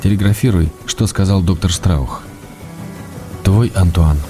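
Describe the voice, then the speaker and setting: An actor playing an affectionate, playful middle-aged husband, a writer reading his letters in an intimate, measured way for a radio drama. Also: low-pitched. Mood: contented, tender. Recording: loud music behind the voice.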